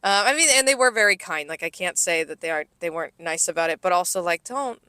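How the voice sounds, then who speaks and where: A woman's voice: on the low side, lively intonation, fast talker, pushed and bright, no room sound. An American woman co-hosting a casual podcast, chiming in emphatically with sympathy and some swearing. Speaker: podcast co-host, restaurant server. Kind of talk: casual chat.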